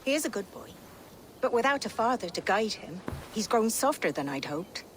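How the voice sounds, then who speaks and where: A woman's voice: mid-range voice, sing-song, normal speed, a little hiss or hum, natural voice. A woman playing a defiant, plain-spoken, middle-aged countrywoman in a period game drama, with earthy archaic phrasing. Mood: wistful, moved. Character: defiant, plain-spoken poor countrywoman, protective older sister.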